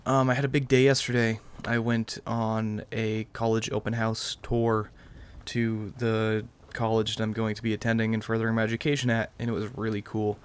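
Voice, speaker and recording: A man's voice: lowish voice, level tone, moderate pace, hardly any pauses, smooth. A tired young man giving casual, subdued, rambling let's-play commentary late at night. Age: young adult. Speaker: gaming YouTuber.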